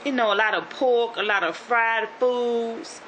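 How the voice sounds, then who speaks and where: A woman with a Southern Louisiana accent talks to her viewers in a chatty, rambling way, full of fillers and restarts. Her voice is bright and forward. A faint hiss of noise sits under the recording. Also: fairly high pitch, a little slow. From Shreveport, North Louisiana. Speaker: YouTube vlogger. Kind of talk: casual motivational vlog.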